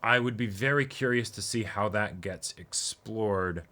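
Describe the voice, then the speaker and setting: Deep, bright-voiced man in casual discussion. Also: a little slow. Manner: analytical, often checks in with "right?". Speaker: co-host of an anime reaction show.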